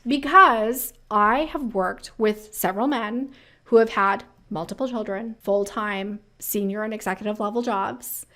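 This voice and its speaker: A woman giving casual, opinionated commentary as a career advice host, talking in an animated, emphatic way with rhetorical questions. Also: mid-range voice.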